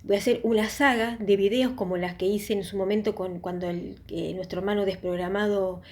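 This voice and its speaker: A woman with a mellow, warm voice speaks straight to her online viewers. She is earnest and reflective, easing into a spiritual talk at her own unhurried pace and pausing to think. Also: mid-range voice, steady tone. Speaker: YouTube channel host. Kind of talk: casual religious testimony talk.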